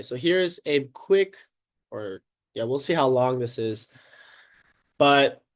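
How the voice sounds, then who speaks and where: A congested, sniffling man teaching a casual math lesson at a board, upbeat and chatty, often checking in with "okay" and "right?". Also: mid-range voice.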